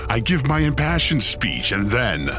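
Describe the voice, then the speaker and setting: An actor playing a smug, flippant Satan in an audio drama, glib and slangy like a scheming boss. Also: male voice, medium pitch, very animated, unhurried, music in the background. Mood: gleeful, grandiose.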